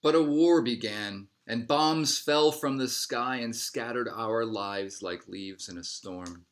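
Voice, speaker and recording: A man reading a picture book aloud as a narrator, in measured phrases that settle at the ends. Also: mid-range voice. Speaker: storybook reader.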